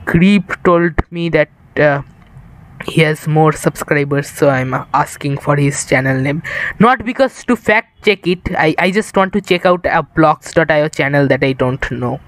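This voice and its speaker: Young guy from india streaming a game, kinda high voice, rambly and tired. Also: unhurried, a bit bright, rough recording. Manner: rambling, chatty, addresses viewers. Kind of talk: casual live-stream chat while gaming. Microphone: improvised setup.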